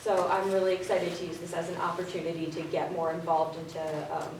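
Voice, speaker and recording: A woman's voice: lowish voice, level tone, a little fast, darkish tone, a little hiss or hum. A young woman running for local office, answering casually with lots of fillers. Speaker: candidate. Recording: echoey hall, distant mic.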